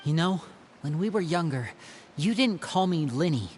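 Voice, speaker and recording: A man voicing an earnest, protective young brother in a video game, speaking in a measured, heartfelt way. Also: fairly high pitch, expressive, darkish tone, slight background noise, natural voice. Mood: earnest, tender.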